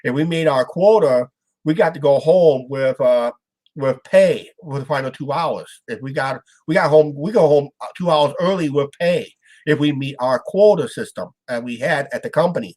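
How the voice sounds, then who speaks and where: A man's voice: fairly high pitch, normal speed, darkish tone. A man from Iowa hosting a casual live-stream chat, with a stuffed-up nose. He rambles and halts, trailing off with "and that". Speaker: show host.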